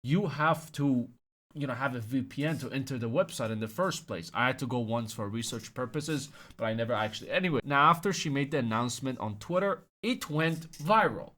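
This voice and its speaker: A young man gives comedic commentary, mocking his subject with sudden loud outbursts. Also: mid-range voice, lively intonation, a little fast. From Iran. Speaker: commentary host.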